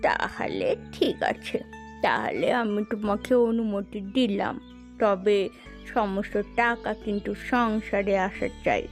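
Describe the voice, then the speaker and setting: A domineering older village matriarch played by a woman in a cartoon moral story. Her voice is full of creak at a middling pitch, and she gives orders curtly. Light music sits behind her. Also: expressive, takes it slowly. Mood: stern, grudging. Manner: curt, commanding. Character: bossy, money-minded older mother-in-law. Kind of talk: cartoon moral-tale voice acting.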